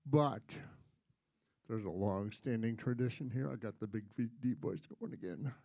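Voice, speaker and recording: A man's voice: fairly low pitch, normal speed, no room sound. A man hosting a folk concert, making casual, joking announcements to a live audience in a coffee house. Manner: joking, chatty, relaxed. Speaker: concert host.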